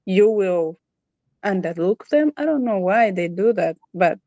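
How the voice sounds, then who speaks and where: A woman's voice: mid-range voice, expressive, unhurried, warm tone, dry recording. Rwandan-accented woman chatting, close dry sound. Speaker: podcast guest. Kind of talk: casual conversational interview.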